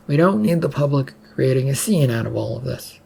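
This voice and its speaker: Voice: mid-range voice, lively intonation, brisk, creaky, darkish tone, husky, full character voice. A narrator voicing a bossy, exasperated male state trooper who gives orders in a storybook reading. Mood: loud, exasperated. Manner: commanding, announcing to crowds.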